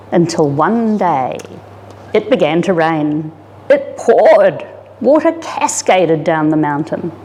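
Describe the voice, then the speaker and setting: Expressive woman storyteller in reverberant room. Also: lowish voice. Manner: dramatic, animated storytelling. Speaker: story reader, disaster resilience officer. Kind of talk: expressive children's picture-book reading.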